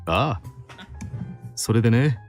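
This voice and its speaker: A man's voice: medium pitch, animated, moderate pace, faint music behind, close to an everyday voice. A male actor playing a friendly, polite bar owner in a Japanese visual novel, with a courteous conversational manner. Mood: friendly, businesslike.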